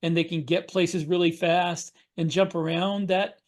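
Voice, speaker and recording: Higher voiced guy on a podcast call, kinda halting as he explains stuff. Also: level tone. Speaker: podcast panelist and sci-fi author. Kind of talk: casual panel discussion. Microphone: video call.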